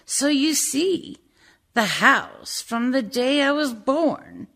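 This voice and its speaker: Radio play role: actress as a sly, poor woman recounting her windfall, higher voice, very animated delivery. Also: slow pace, heavily acted. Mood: smug. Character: sly, destitute woman recounting how she claimed a mansion. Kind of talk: dramatic verse monologue for radio.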